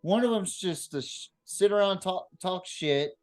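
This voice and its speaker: A man hosting a casual, unscripted sports podcast, swearing freely and speaking bluntly in slangy, halting phrases that often repeat. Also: sits high, soft background music. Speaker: podcast host.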